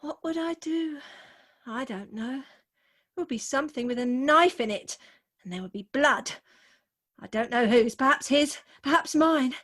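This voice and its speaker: High-pitched young bride played by an actress, sentimental and jealous, theatrically earnest Victorian comedy style for radio. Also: female voice, a little slow, fairly bright tone. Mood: anxious, dramatic. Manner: mannered, declamatory, earnest. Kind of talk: theatrical comic radio play acting. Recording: remote home video-call recording.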